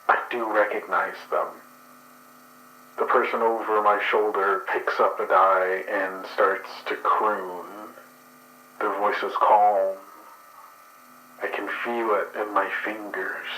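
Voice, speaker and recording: A man playing a tabletop game, narrating a dream in a slow, measured way and then analysing it. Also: on the low side, bright, forceful tone, a little hiss or hum, poor audio. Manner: slow, measured narration, turning casual and profane. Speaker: player. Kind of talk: actual play storytelling.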